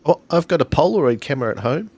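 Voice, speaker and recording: A man's voice: on the high side, very animated, quick pace, a little mellow, slightly rough, pitched above the performer's own voice. A man playing an unsure kid in improvised tabletop roleplay, talking hesitantly and informally. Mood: worried. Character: unsure kid caught in a mystery adventure.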